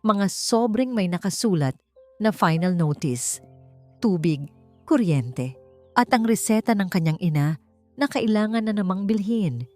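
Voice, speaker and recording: A woman's voice: medium pitch, lively intonation, a little slow, pauses often, darkish tone. A woman with a Filipino accent reading a scripted story in a dramatic narrating style. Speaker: audiobook narrator. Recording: music behind the voice. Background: music.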